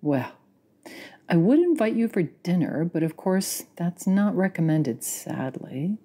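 A woman's voice: fairly low pitch, sing-song, measured pace, dark tone. A middle-aged woman whose first language is Romanian, reading a wry personal story. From Canada. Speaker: listener contributor.

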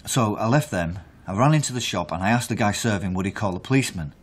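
A man's voice: lowish voice, expressive, quick pace, slightly rough. An actor playing an aggrieved, aggressive man in a naturalistic radio drama, British, confrontational and sarcastic. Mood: indignant. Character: aggrieved, aggressive conned man out for his money.

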